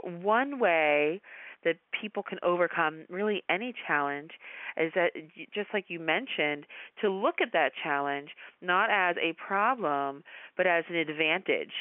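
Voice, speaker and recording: A woman hosting a podcast, asking a guest long, measured questions. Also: mid-range voice, dry recording, narrow, tinny audio. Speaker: podcast host. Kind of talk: interview hosting.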